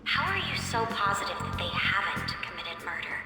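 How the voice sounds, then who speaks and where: An earnest young female interviewer presses with formal, insistent questions. Her video game delivery swings widely in pitch, heard in a very reverberant hall with music behind. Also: wildly swooping pitch, moderate pace, creaky, full character voice. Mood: formal, probing.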